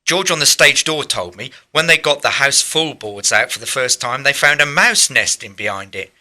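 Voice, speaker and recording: A man playing a steady, reassuring young working-class theatre lad in a radio play. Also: mid-range voice, normal speed. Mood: excited. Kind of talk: radio drama acting.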